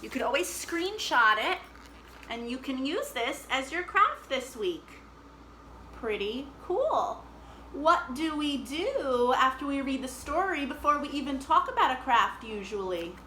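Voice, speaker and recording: Super bright chatty lady talking to little kids at storytime, animated, slight noise behind her. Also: high voice, measured pace, low-quality recording. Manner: animated, chatty, addresses children directly. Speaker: children's storytime host. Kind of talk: storytime reading and chat to young children.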